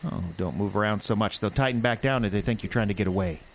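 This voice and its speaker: An actor playing a gruff, folksy, greedy hobo in an audio drama. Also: male voice, lowish voice, quick pace, very noisy, close to an everyday voice. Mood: sly, boastful. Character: gruff, sly, greedy hobo living among lake islands.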